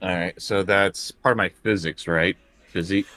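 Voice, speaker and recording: A man's voice: low-pitched, fairly even intonation, moderate pace, pushed and bright, no echo. A man chatting casually as a player in a tabletop role-playing game. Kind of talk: casual chat during tabletop game play.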